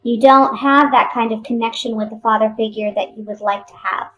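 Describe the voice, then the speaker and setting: A woman with a somewhat high voice reads tarot for her viewers. She talks casually and off the cuff, pausing and repeating herself as she thinks aloud. A light noise sits behind her voice. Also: steady tone, a bit bright, muffled recording. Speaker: tarot card reader.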